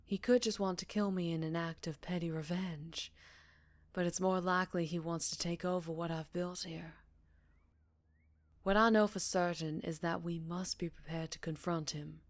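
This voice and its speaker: A woman playing a centuries-old vampire leader, speaking softly and breathily in an intimate ASMR roleplay. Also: fairly low pitch, fairly even intonation, no echo, natural voice. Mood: grave, cautious. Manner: soft, breathy, measured, with whispered phrases. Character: centuries-old female vampire town leader, secretive, wistful, tender.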